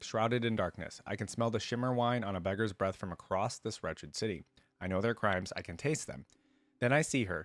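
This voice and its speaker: Low-voiced man, casual reaction host. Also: no room sound. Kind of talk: casual commentary and reading lore aloud.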